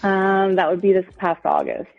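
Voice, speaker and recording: A woman's voice: mid-range voice, steady tone, normal speed. A woman talking casually and hesitantly as a caller to a radio show. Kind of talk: casual phone chat, hesitant explaining. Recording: muffled lo-fi audio, music underneath.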